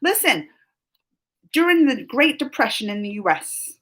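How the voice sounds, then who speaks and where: A woman's voice: a little high, sing-song, a little slow, a bit bright. A woman in her thirties hosting a casual live stream, talking in an emotional, rambling way with many restarts and fillers. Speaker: livestream host.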